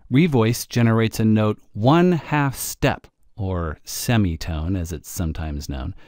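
A man presenting a software tutorial, explaining step by step in a conversational way with wry asides. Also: lowish voice, dry recording, clean studio sound.

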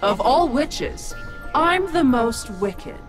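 A woman's voice: high-pitched, big pitch swings, drawn-out words, over music, exaggerated voice. A woman voicing an ancient, wicked demon witch in a video game, taunting and haughty. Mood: wicked, scornful. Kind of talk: video game villain acting.

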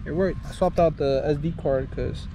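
A young man talks to an action camera held at arm's length outdoors, slangy and swearing freely as he thinks out loud. His voice sits a little high for a man. Heavy wind and street noise roar behind him. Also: moderate pace. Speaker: vlogger. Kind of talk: casual chat to a handheld camera while riding. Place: outdoors on a sunny beachside street and park.

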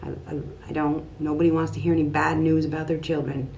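A middle-aged woman giving an improvised tarot reading to camera in a small room at home, halting and repeating phrases as she thinks aloud. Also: low voice, highs cut off. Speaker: tarot card reader. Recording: clear steady background room noise.

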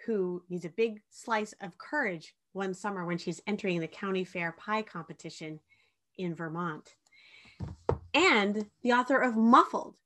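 A woman's voice: on the high side, fairly bright tone. A middle-aged woman, a children's author, speaking to camera in a friendly introduction with a trivia question. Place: indoor room with bookshelves.

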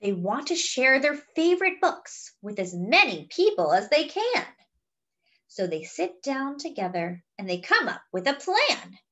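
A woman's voice: sits high, big pitch swings, measured pace, a bit bright. A woman reading a picture book aloud expressively, with chatty, wondering asides to young listeners. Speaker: children's story reader.

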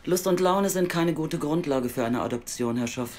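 An actress playing a middle-aged female youth welfare official in an audio drama, weary, scornful and blunt, with a deep voice and a lecturing, sardonic manner. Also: moderate pace, darkish tone, a little hiss or hum, lightly acted. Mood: cool, firm. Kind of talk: naturalistic audio drama acting.

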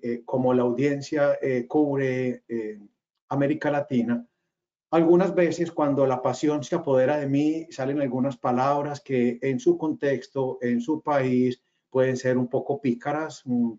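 A man's voice: medium pitch, steady tone, measured pace, dark tone. A man in his forties with a Colombian regional accent, giving a webinar presentation over a video call, hesitant, with many fillers and restarts. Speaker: webinar speaker, company cofounder. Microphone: video call webcam. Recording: slightly dull video call audio.

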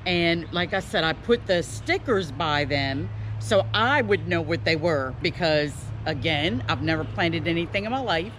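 A woman's voice: lowish voice, lively intonation, measured pace, hardly any pauses, a bit bright. An older woman with an American accent chatting casually to camera, playful and self-deprecating. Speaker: vlogger showing her home garden. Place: outdoors in a fenced backyard. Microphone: handheld camera at arm's length. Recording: clearly noisy outdoor ambience.

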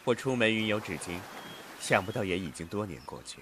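A man's voice: on the low side, expressive, fairly quick, fairly bright tone, slight background noise. An actor playing a playful, genteel male immortal in a costume audio drama. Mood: smug, fond.

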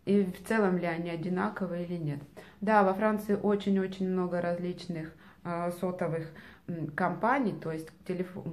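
A woman's voice: fairly low pitch, a little mellow. Hesitant woman vlogging to camera. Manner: thoughtful, many hesitations and filler sounds. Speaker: vlogger answering viewer questions. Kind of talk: casual Q&A vlog. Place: small room indoors. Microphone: camera at arm's length.

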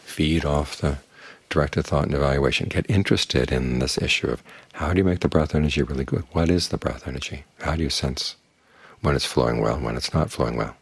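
Very deep, husky-voiced older man giving a talk. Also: a little fast, no echo. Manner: calm, plain-spoken, uses homely images. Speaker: Buddhist monk teacher. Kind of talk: evening dharma talk.

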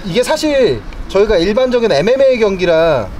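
Upbeat male interviewer, animated delivery, outdoor handheld mic. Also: sits high, fairly quick, a little hiss or hum. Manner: upbeat, filler-heavy questions. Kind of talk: post-fight interview. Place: outdoor poolside rooftop.

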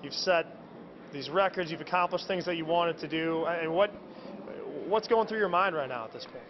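A man's voice: fairly high pitch, normal speed. A man working as a sports reporter, asking casual questions in a postgame press scrum. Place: indoors in a postgame media scrum. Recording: dull sound, clear background noise.

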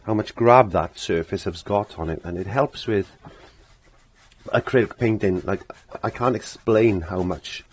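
A middle-aged man with a low, husky, croaky voice talks through a painting demonstration. He rambles casually, often repeating short phrases as if talking to himself while he works. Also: measured pace. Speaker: painting instructor. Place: indoor home art studio.

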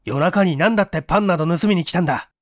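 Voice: medium pitch, fast, no echo, clean studio sound, close to an everyday voice. Performer as a curt, scolding male detective.

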